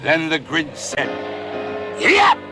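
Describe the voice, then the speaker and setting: A man narrating a rhyming storybook in an expressive, sing-song way. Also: extremely low pitch, extremely slow, over music. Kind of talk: storybook reading in rhyme.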